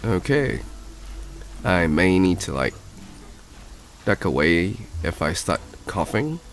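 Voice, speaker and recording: A man's voice: low voice, very animated, slight background noise. A man casually commenting on a video game as he plays, sounding sick and nasal with a stuffy cold. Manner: slow, chatty, talks to viewers while playing. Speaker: gaming YouTuber.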